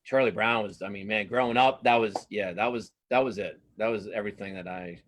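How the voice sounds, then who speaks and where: A man's voice: on the low side, brisk, a little hiss or hum. A middle-aged man chatting casually as an interview guest, enthusiastic and often repeating words for emphasis. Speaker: guest cartoonist and comics writer.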